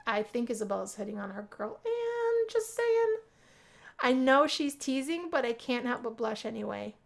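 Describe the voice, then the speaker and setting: A woman reading a first-person visual novel aloud as expressive story narration. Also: on the high side, vocal fry.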